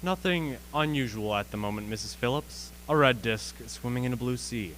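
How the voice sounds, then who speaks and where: A young man playing a learned, assured astronomy professor in a measured, formal radio play style. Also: mid-range voice, fairly bright tone, slight background noise, close to an everyday voice, rough recording. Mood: assured, scholarly.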